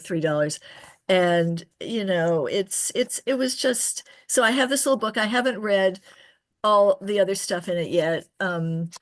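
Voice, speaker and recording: A woman poet chatting casually in a halting, digressive way, often restarting her phrases partway through. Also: mid-range voice. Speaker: poet at an open reading. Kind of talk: casual, rambling anecdote.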